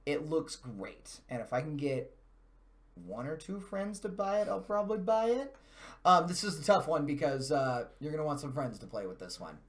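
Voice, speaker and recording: A man's voice: fairly high pitch, lively intonation, moderate pace. A man hosting a live stream with casual gaming commentary, talking in a chatty, self-deprecating and mildly sweary way.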